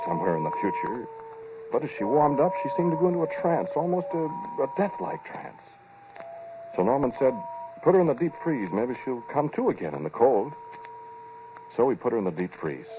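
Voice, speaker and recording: An actor playing an intense scientist who narrates a 1940s radio drama, talking directly and conversationally to the listener. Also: male voice, fairly low pitch. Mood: agitated. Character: intense male scientist recounting a frightening experiment. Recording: muffled, noisy 1940s radio recording.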